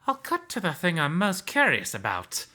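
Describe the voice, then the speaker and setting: A man's voice: a little high, wildly swooping pitch, normal speed, sharp tone, voice pushed up, full character voice. A man voicing a snarky, incredulous male hyena in a visual novel, with a mocking manner. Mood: curious, prying. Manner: mocking, sarcastic, exclamatory.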